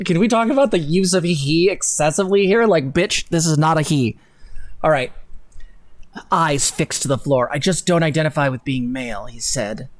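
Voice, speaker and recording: Higher-pitched young man, dry close sound. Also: dry recording. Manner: mocking, theatrical, sweary. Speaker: live stream host. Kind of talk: dramatic reading with sarcastic commentary. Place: home room, indoors.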